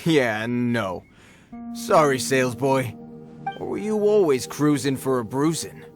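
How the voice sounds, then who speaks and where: An actor playing a mocking, streetwise bandit thug in a video game, with a slangy, taunting way of talking. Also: male voice, medium pitch, expressive, a little slow, close to an everyday voice. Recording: background music under the dialogue.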